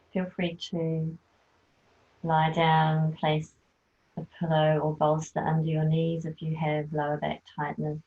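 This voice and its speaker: A woman leading a guided yoga nidra relaxation, speaking softly and soothingly as she gives gentle instructions. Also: low voice. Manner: slow, soft, soothing instruction. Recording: tinny phone-like sound.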